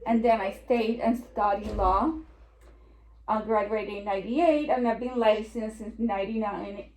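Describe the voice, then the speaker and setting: A woman's voice: mid-range voice, fairly even intonation, normal speed, a little mellow. A woman in her fifties with a Puerto Rican accent, giving an informal, self-deprecating talk as an attorney. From Puerto Rico. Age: 50s. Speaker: estate planning attorney, speaker. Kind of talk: informal presentation. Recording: echoey room.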